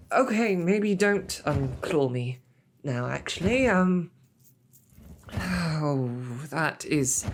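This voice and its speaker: A woman's voice: on the low side, slight background noise, exaggerated voice. A woman playing a snarky, defiant captive knight loyal to her princess, speaking in formal, chivalrous phrases with dry sarcasm in an audio drama. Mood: startled, panicky. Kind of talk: scripted audio roleplay drama.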